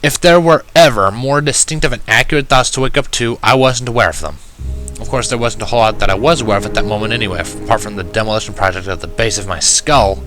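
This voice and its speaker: Low-pitched male storyteller, bright and forceful. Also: fast, low-quality recording. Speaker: fan fiction reader. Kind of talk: first-person dramatic story narration. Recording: music behind the voice. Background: music.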